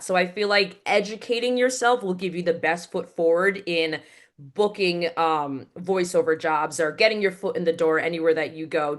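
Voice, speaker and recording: A woman voiceover actress speaking as an interview guest, with a powerful voice and fast, crisply enunciated speech over a video call. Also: fairly low pitch, fairly bright tone. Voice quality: powerful, clear voice. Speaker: voiceover actress and award show announcer.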